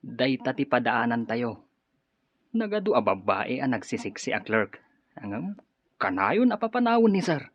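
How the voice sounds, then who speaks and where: Husky, gossipy office worker. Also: male voice, medium pitch, big pitch swings, normal speed, no room sound. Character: gossipy, judgmental male office employee. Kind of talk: Ilocano radio drama acting. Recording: dull lo-fi audio, faint music.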